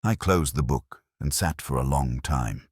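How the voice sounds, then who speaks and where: A man narrating a first-person literary detective story in a measured, deliberate, brooding way, phrase by phrase. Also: a bass voice, slightly rough, dry recording, clean studio sound.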